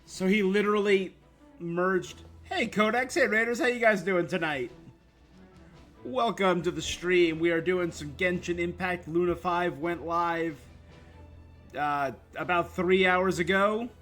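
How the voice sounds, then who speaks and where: A man's voice: high voice, unhurried, fairly bright tone. A man streaming a game, chatting casually in a rambling, opinionated way. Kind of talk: casual livestream chat. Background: game music.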